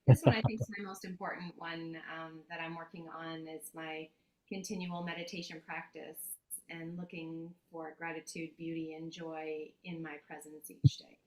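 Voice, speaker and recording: A woman's voice: sits low, little pitch movement, moderate pace, darkish tone. A woman chatting on a video call about her sketchbook, rambling and correcting herself as she goes. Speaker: workshop participant. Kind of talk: casual sharing on a video call. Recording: echoey room on a call.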